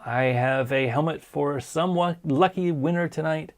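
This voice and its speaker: A man hosting a casual live-stream show, hoarse from a sinus cold, talking in an earnest, encouraging way. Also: on the high side, unhurried. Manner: earnest, encouraging, plain-spoken. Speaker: podcast host.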